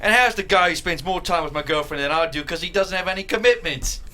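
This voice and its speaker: Man acting a high, bright, smarmy young boyfriend. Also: quick pace. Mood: falsely jovial. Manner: gushing, passive-aggressive. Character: smarmy, overfriendly, dad-like boyfriend lawyer of twenty-six. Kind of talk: comedy script table read.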